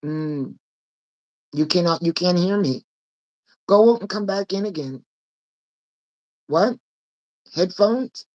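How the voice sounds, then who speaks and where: A woman with a raspy voice, hosting a casual live-stream chat and laughing often. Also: low voice, darkish tone, highs cut off. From Nova Scotia. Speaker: host. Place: home room with fake northern lights projector.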